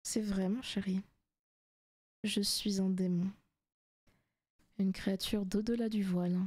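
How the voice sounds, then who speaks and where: A woman playing a seductive, possessive demon in a soft, teasing ASMR roleplay, often slipping into whispers. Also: medium pitch, steady tone, unhurried, lightly acted. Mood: sly, menacing. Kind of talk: ASMR roleplay, intimate scripted monologue.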